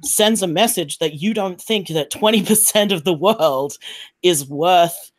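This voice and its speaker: Panelist, medium-pitched voice, casual but emphatic, builds an argument point by point. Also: unhurried. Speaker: podcast panelist.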